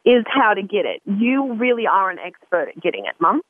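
A chatty woman hosts a radio talk show. She repeats and restarts her words as she goes, at a middling pitch. The sound is narrow and phone-like, with a dry, close feel. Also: huge pitch swings, brisk, slightly rough, no room sound.

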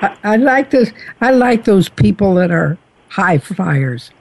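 A woman's voice: mid-range voice, big pitch swings, takes it slowly, a little mellow. A woman hosting a talk radio interview, chatty and homey in a folksy way. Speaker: radio show host.

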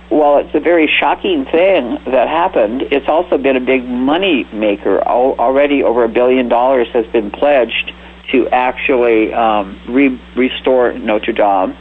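A man hosting a radio talk show with a husky, slightly breathy voice. He talks in a loose, rambling, speculative way. The sound is thin and narrow, as if carried over a phone line. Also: mid-range voice. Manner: rambling, speculative, asks guests for comments. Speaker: radio host. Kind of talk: casual talk radio discussion. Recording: tinny phone-like radio audio.

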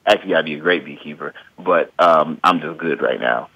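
Relaxed Midwestern man with a very low voice, thinks out loud as a guest, dry close recording. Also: quick pace, vocal fry, slightly rough, no room sound, highs cut off. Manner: relaxed, thinks aloud with frequent hedges. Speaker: guest beekeeper and small business owner. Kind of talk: casual interview answers.

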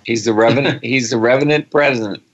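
A man co-hosting a radio talk show, making wry deadpan jokes and taking calls. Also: medium pitch, fast talker, dull audio. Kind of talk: casual radio chat.

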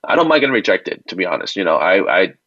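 Dull dry call audio, man, deep pitch, informal, reassuring, conversational guest. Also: very animated, fast, fairly bright tone, no room sound. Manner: informal, reassuring, often checks with "right?". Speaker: company founder and CEO, interview guest. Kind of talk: conversational interview answers. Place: remote call.

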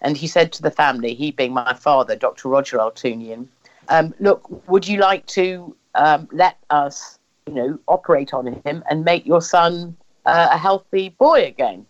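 A woman's voice: deep voice, normal speed. English woman storytelling, slightly dull recording. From England. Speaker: interviewee recounting family history. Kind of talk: personal storytelling in conversation.

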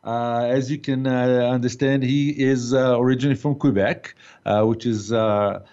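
Hesitant host with a muffled sound. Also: male voice, medium pitch, fairly even intonation, moderate pace, darkish tone, clean tone. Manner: hesitant, many fillers. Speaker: talk show host. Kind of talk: casual interview. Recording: dull lo-fi sound.

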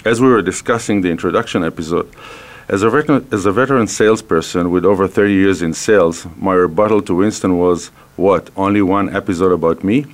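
A man's voice: low-pitched, brisk. A man in his fifties from Israel with an Israeli accent, hosting a business interview from a radio studio and talking hesitantly with many fillers and restarts. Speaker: radio show host.

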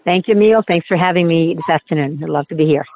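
A woman neurologist who grew up in Puerto Rico, a guest on a health show, telling her career story with frequent "uh" pauses. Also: deep voice, a little mellow, no room sound. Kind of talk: interview storytelling. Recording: tinny phone line.

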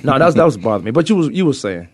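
A man with a Virginia accent talks sports in a loose, animated back-and-forth. His voice sits at an ordinary middle pitch and sounds dry and close, with no room around it. He presses his points hard and doubles back on words as he gets worked up. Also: rapid-fire, slightly rough, dry recording. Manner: animated, emphatic, repeats himself when excited. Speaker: sports talk host. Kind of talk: casual sports debate.

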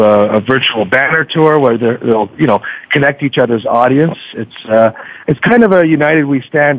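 A man's voice: mid-range voice, dry recording. A man speaking as an interview guest in a chatty, hesitant way full of ums and filler phrases, on lo-fi radio audio. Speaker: podcast host being interviewed as a guest. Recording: dull lo-fi radio sound.

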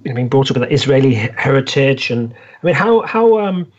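A man's voice: mid-range voice, sing-song, brisk. A man hosting a podcast interview, speaking with many ums and restarts as he introduces his guest and asks questions. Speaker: podcast host. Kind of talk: interview conversation. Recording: dull lo-fi audio.

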